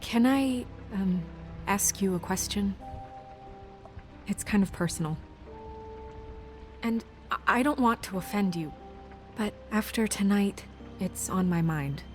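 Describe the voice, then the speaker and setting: A woman's voice: medium pitch, takes it slowly, pauses often, soft background music, lightly acted. A woman voicing an earnest, businesslike office administrator in a video game, with naturalistic, hesitant phrasing. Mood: tentative, sincere. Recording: faint score under the voice.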